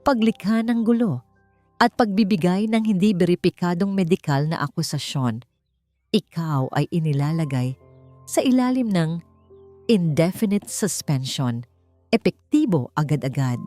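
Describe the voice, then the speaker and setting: A woman's voice: lowish voice, lively intonation, measured pace, warm tone, dry recording. Woman narrator as cold female office manager, Filipino accent, formal, curt, official, audiobook drama, faint music. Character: stern, cold female human resources manager.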